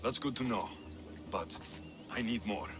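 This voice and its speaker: An actor playing a young, skeptical Greek mercenary hero in a video game, wry and matter-of-fact. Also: male voice, fairly low pitch, unhurried, music in the background, natural voice. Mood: businesslike. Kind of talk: video game dialogue acting.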